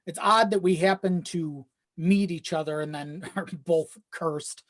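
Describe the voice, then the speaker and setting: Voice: medium pitch, a little slow. Informal, sweary podcast guest in casual chat. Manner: informal, sweary, self-deprecating. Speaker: video editor.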